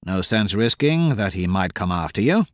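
A man voicing a cold, sardonic young male thief who talks in a dry, clipped, deadpan way. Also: lowish voice, moderate pace, no echo, voice pushed down.

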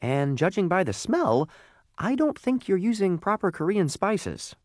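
A man's voice: high voice, big pitch swings, a little slow, no room sound, studio quality, pitched above the performer's own voice. A man voicing an earnest, despondent talking lump of coal in a storybook. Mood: doubtful.

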